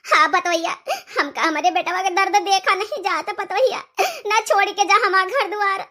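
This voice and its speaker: An old village mother-in-law acted by a woman speaks in an extremely high, bright cartoon voice. She has an Awadhi accent and an honour-minded, plaintive, dramatic way of talking. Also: normal speed. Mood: tearful pleading. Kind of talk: comic village cartoon dialogue.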